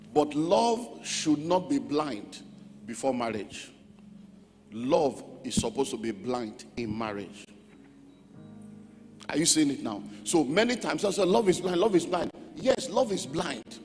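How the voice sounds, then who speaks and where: Male preacher, Nigerian accent, fairly high voice, emphatic and repetitive teaching style, long hall reverb. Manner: emphatic, repeats key phrases, checks in with listeners. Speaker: pastor. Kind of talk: sermon teaching on relationships. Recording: echoey hall with music underneath.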